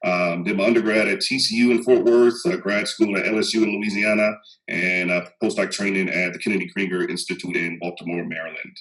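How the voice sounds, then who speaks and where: A man's voice: deep voice, fairly even intonation, a bit bright, poor audio. A man in his thirties with a faint Texan accent, a psychologist talking casually on a panel with many ums and restarts. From Texas. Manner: measured, many "um"s and restarts, chuckles. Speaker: guest psychologist.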